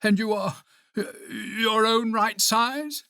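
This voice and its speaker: A reader voicing an excitable toad in a children's storybook, exclaiming and pleading in an animated character voice. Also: medium pitch, slow, no echo, clean studio sound, pitched above the performer's own voice, full character voice. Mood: relieved. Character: boastful then anxious, lonely toad.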